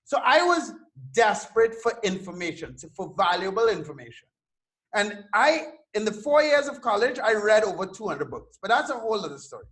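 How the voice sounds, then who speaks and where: High-pitched man from Trinidad and Tobago. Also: fairly quick. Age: 30s. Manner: animated, swears casually, repeats words. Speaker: guest entrepreneur. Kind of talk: casual interview storytelling.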